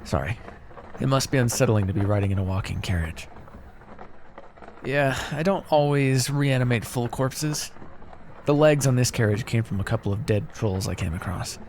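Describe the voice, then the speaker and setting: A man's voice: medium pitch, animated, moderate pace, a little mellow, in a large hall, a little hiss or hum, natural voice. A man playing a pragmatic, chatty necromancer in a casual, wry ASMR fantasy roleplay. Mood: easygoing, confident. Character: pragmatic, chatty male necromancer, matter-of-fact and ambitious. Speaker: roleplay voice actor. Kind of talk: ASMR fantasy roleplay, one-sided dialogue.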